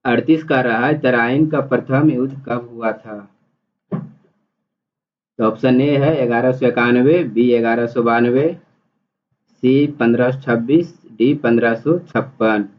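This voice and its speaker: Dull lo-fi audio, male exam tutor, mid-pitched voice, question-and-answer explaining, repeats for clarity. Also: fairly even intonation, measured pace. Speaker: exam-prep teacher and quiz host. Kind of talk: reading multiple-choice questions and explaining answers.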